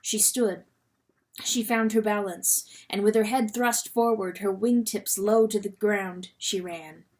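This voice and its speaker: A woman reading a novel aloud as dramatic narration, expressive and full of suspense. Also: medium pitch, a little slow. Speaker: audiobook reader.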